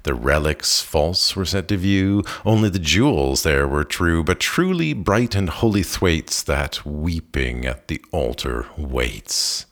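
A man giving a slow, expressive reading of a long poem, with measured phrasing and an occasional restart. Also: rumbling low. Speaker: poetry reader. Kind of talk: slow dramatic poetry reading.

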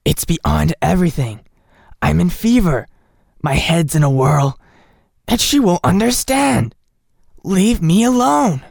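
A woman's voice: fairly low pitch, expressive, slow, gravelly, long pauses, a little mellow, dry recording, studio quality, voice pushed up, full character voice. A woman reading aloud voices a tired, feverish country doctor who mutters refusals. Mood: weary reluctance. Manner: muttering, grumbling, weary.

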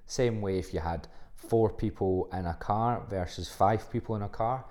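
A man's voice: lowish voice, normal speed. A man hosting a solo podcast, talking casually and bluntly, rambling with fillers and the odd swear word. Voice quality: soft-spoken. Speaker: podcast host and fitness coach.

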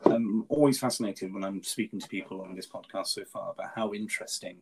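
A man's voice: lowish voice, fairly quick, creaky, slight background noise, rough recording. A man whose first language is Farsi, hosting a casual podcast interview, talking with frequent ums and restarts. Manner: hesitant, many ums and restarts. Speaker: podcast host.

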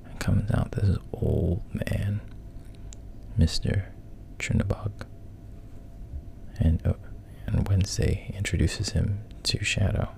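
A man casually retelling a story in a hushed, halting way, often dropping to a whisper, with a gravelly, breathy voice. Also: low-pitched, steady tone, slight background noise.

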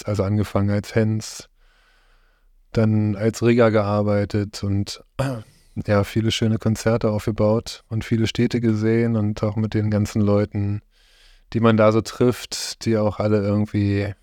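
A middle-aged man from Germany, interviewed about his life, speaks in a relaxed, conversational way, often hesitating and searching for his words. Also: lowish voice, a little slow, no room sound, studio quality. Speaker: interviewee, farm host.

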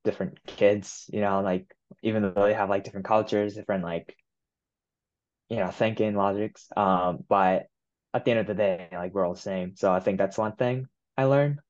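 Low-voiced young man from Japan. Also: moderate pace, muffled recording. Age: 20s. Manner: relaxed, many fillers like "you know" and "um". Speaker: guest. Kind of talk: casual interview.

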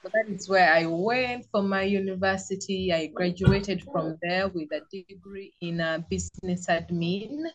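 A woman's voice: mid-range voice, fairly even intonation, unhurried, few pauses, low-quality recording. A young woman with a Ugandan English accent, a podcast guest who talks about her life plainly and laughs easily. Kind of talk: casual interview chat.

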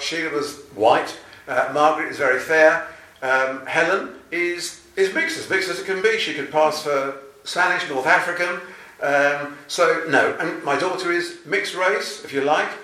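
A man's voice: a little high, pushed and bright, distant mic. An older man, a novelist, answering interview questions in a chatty, anecdotal and self-deprecating way. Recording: roomy, from off-mic.

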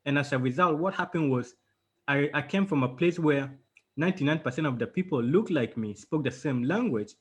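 A man's voice: fairly high pitch, animated, brisk, breathy edge. A young man from Uganda being interviewed as a guest author, telling his story in a casual, rambling way with many fillers and restarts over a video call.

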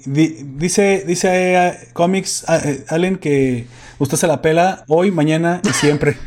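Guy hosting a podcast, kinda high voice, jokey, trips over words reading comments. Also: slow, a little mellow, slight background noise. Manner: joking, laughs often, stumbles reading aloud. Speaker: podcast host. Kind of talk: casual podcast chat.

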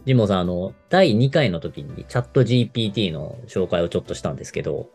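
A man around forty with a very low, creaky voice. He talks quickly, explaining things casually as a radio co-host. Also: expressive, a little mellow. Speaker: radio show co-host, marketer. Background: background music.

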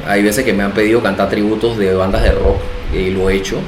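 A middle-aged man with a Panamanian accent, talking casually as an interview guest, animated and self-deprecating, repeating phrases for emphasis. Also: fairly low pitch, brisk. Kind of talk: casual interview conversation, storytelling. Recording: echoey room, faint background noise.

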